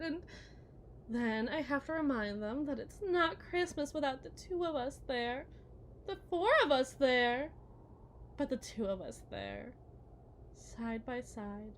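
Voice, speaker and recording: A woman playing a possessive, manipulative, lovesick kidnapper wife in a theatrical, condescending ASMR roleplay monologue, drawing out her words and swinging from hushed to emphatic. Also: high-pitched, slight background noise, exaggerated voice.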